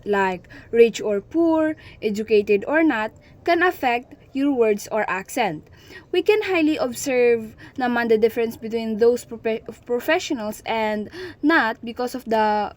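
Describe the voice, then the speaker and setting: A young Filipino woman talks through a class report in a slightly high voice. Her accent is Filipino, and she often breaks off and restarts words as she goes. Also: darkish tone. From Philippines. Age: young adult. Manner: frequent restarts, mixes in Tagalog phrases. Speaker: student reporter.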